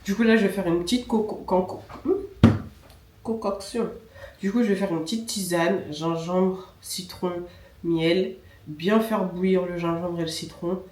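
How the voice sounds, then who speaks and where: A woman's voice: fairly low pitch, fairly even intonation, measured pace, a little mellow, distant mic, a little hiss or hum. A young woman vlogging casually with a stuffy, nasal voice from a cold, chatting along with filler sounds. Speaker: vlogger and semi-pro handball player. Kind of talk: casual vlog chat. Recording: echoey room with music behind.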